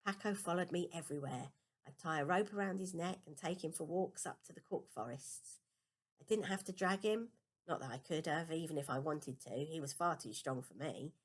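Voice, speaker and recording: A woman's voice: low voice, moderate pace. A woman reading a children's novel aloud as a first-person storybook narration. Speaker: storybook reader.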